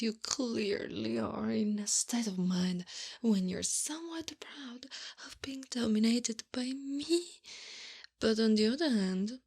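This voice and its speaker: Breathy, slow, doting bossy wife played by a woman. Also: on the high side, heavily acted. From Italy. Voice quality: breathy, often whispering. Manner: coaxing, pet names, gentle scolding. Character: doting, teasing, bossy wife mothering her husband. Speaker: ASMR roleplay performer. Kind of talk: ASMR roleplay, soft and often whispered.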